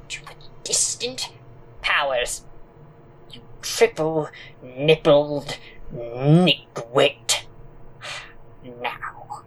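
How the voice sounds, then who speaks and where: A man acts out a decrepit, hateful old woman in an audio drama. The voice swings widely in pitch as it doles out slow, grandiose complaints and theatrical insults, cross and menacing. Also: sharp tone, slight background noise, heavily acted, low-quality recording. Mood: furious. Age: older. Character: decrepit, cranky, homicidal-looking old woman customer. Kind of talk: audio drama character acting.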